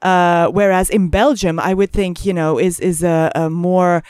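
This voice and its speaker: A woman from Greece hosting a casual conversation, picking her words haltingly with frequent pauses. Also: on the low side, steady tone, darkish tone, smooth, dry recording, clean studio sound. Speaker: podcast host.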